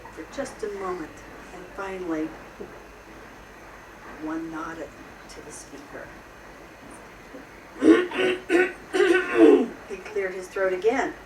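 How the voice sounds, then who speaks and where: A woman reading a children's story aloud in an expressive storyteller's style, with theatrical pauses. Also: fairly low pitch. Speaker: storybook reader. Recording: roomy, distant mic, noisy background.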